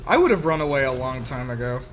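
A man's voice: medium pitch, big pitch swings, fast, crowd noise. A man giving casual, wry film commentary as a podcast co-host, exclaiming and swearing freely.

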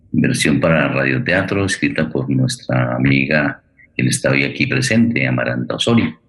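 A man hosting a radio theatre forum, speaking haltingly as he searches for words while presenting and giving thanks. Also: sits low, fairly bright tone. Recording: roomy, echoey sound.

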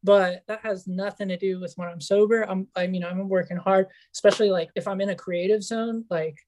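Soft-spoken raspy young man. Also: high-pitched, fairly even intonation, fairly quick, slight background noise. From Mexico. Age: 20s. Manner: easygoing, agreeable, filler-heavy. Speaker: guest author. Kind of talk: casual chat.

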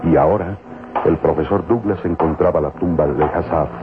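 A man narrating an old radio serial in a solemn, grandiose, measured dramatic style. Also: very deep voice, background noise. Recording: muffled old phone-like radio, loud music. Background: dramatic music.

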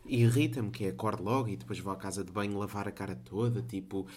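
A man's voice: fairly low pitch, fairly even intonation, fast, a little mellow, breathy edge. Laid-back Portuguese man rambling. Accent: European Portuguese. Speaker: podcast guest. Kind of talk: casual chat.

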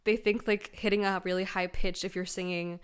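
A woman's voice: lowish voice, little pitch movement, fairly quick, clear, resonant tone. A young woman from Malaysia with a slightly American accent, chatting freely as a guest in a podcast conversation, full of "like" fillers. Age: young adult. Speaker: guest, emerging actor.

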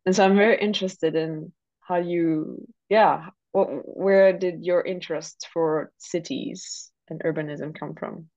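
A woman's voice: fairly low pitch, fairly even intonation, measured pace, darkish tone. A woman hosting a casual interview podcast, informal and thinking aloud as she phrases her questions. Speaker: podcast host. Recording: slightly dull, lo-fi close recording.

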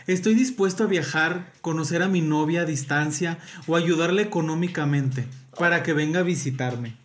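A man's voice: fairly high pitch, normal speed. A man with a Mexican accent, chatting casually and playfully reading aloud as a podcast co-host.

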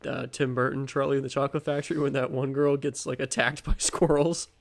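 Young man, American accent, creaky vocal fry, mid-pitched, podcast co-host, halting giggly delivery, improvised comic storytelling. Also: level tone. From American Midwest. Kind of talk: casual comedic improvised storytelling.

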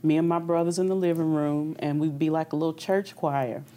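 A young woman from Kentucky, an opera singer being interviewed, talking in a relaxed, reflective way with easy laughs. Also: low-pitched, fairly quick. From Kentucky, United States. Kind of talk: conversational interview.

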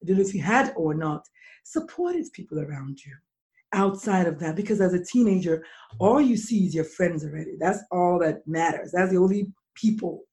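A middle-aged woman hosting a podcast, with a deep, husky voice from swollen vocal cords, talking slowly and deliberately when she explains. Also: lively intonation, mellow. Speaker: podcast host. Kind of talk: casual podcast interview.

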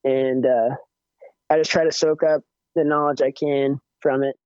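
A young man with a higher-pitched voice, chatting casually as a musician guest with lots of fillers and restarts. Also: measured pace, smooth, no echo. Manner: relaxed, rambling, many fillers and restarts. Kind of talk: casual interview chat. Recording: dull, muffled audio.

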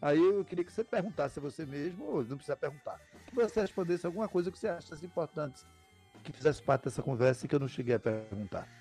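A man's voice: fairly high pitch, expressive, fast talker, dark tone, faint music behind. An older man from Paraíba, Brazil, hosting a podcast interview in a chatty, rambling way. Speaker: podcast host. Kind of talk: casual interview chat.

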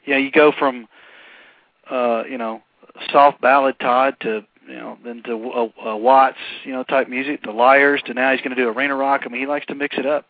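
A man's voice: mid-range voice, level tone, normal speed, no room sound. A man hosting a radio show, chatty and upbeat in a casual interview. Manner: upbeat, chatty, laughs easily. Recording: dry, tinny phone-like sound.

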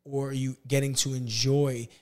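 A man hosting a podcast in casual, earnest conversation. His voice is slightly hoarse, and he speeds up when he tells a story. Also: medium pitch, little pitch movement, moderate pace, a little mellow. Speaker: podcast host.

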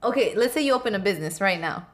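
Twenties woman on a podcast, slangy, playful, gets pushy when she argues, mid-pitched voice. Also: lively intonation, rapid-fire. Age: 20s. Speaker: podcast co-host. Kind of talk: casual chat.

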